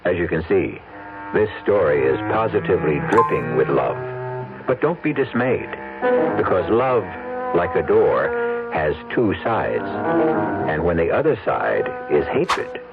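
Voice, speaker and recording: A man with a low voice and richly varied intonation narrates a radio mystery. His delivery is theatrical, measured and ominous, on a muffled vintage recording with music behind. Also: sing-song, creaky. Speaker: host and narrator. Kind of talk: dramatic scripted radio narration.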